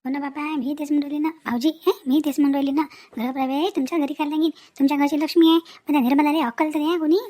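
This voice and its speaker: A woman acts out an outspoken village mother from a cartoon family drama. She talks high and fast in rural Vidarbha Marathi, protective and indignant, hammering her advice home with chatty, emphatic repetition. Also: steady tone, warm tone, close to an everyday voice. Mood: pleading. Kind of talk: animated family story dialogue.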